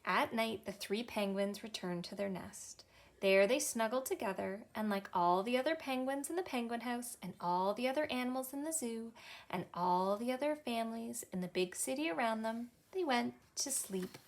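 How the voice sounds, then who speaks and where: A woman in her thirties with a Canadian accent, a children's librarian reading a picture book aloud in a cheerful, expressive storytime manner. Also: a little high, normal speed. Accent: Canadian English. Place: small indoor library room.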